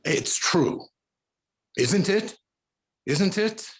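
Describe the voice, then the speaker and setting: A man playing Martin, an anxious, self-doubting musician, halting and brooding in a 1940s-style radio thriller. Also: sits high, lively intonation, full character voice. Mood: agitated. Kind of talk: 1940s radio drama acting.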